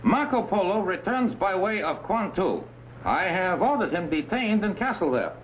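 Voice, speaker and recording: A man's voice: high-pitched, sing-song, moderate pace. A man playing an ambitious, treacherous Chinese warlord, speaking grandiose, stilted English in an old adventure serial. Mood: scheming. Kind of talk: 1950s TV adventure serial acting. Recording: dull old broadcast recording with hiss.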